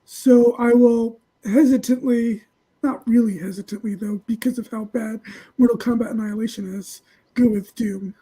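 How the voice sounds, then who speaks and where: A woman's voice: fairly high pitch, steady tone, moderate pace, warm tone, breathy edge, low-quality recording. A woman chatting casually and giving her opinions as a panelist. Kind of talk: casual movie opinion chat.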